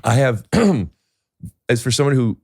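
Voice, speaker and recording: A man hosting a podcast in casual conversation, talking in halting stops and restarts with many fillers. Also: mid-range voice, very animated, vocal fry, a little mellow, no echo, clean studio sound. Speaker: podcast host.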